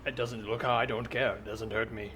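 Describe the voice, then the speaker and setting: A man improvising a stoic, literal-minded robot from the future disguised as a girl, speaking in halting, self-important declarations for a comedy podcast. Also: medium pitch, flat delivery, bright, forceful tone, a little hiss or hum, close to an everyday voice. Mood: stubborn, stoic.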